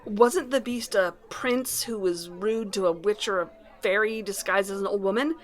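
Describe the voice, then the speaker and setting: A woman's voice: medium pitch, normal speed, soft background music, natural voice. A woman playing a questioning wife searching for her missing husband in an audio drama. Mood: curious, indignant.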